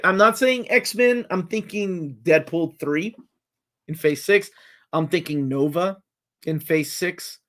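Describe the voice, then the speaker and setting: Excitable man in his forties. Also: fairly high pitch. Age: 40s. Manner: excitable fan, jumps between comments and guesses. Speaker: live chat host. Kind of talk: casual live-stream chat reading comments.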